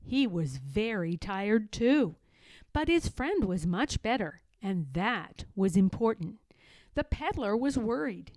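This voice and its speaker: A woman reading a children's story aloud in a dramatic, deliberate way, stressing key words. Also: mid-range voice, sing-song, darkish tone, no echo. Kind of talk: children's read-aloud narration.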